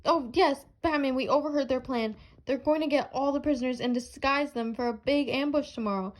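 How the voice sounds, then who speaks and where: Woman acting a high-voiced plucky female reporter. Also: fairly quick, natural voice. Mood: earnest, urgent. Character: earnest, plucky young female newspaper reporter. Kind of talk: amateur superhero radio play.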